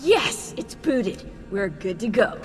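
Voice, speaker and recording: A woman's voice: medium pitch, sing-song, slow pace. A woman voicing an upbeat, enthusiastic young tech whiz in a video game. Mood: excited. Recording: long reverb, faint music.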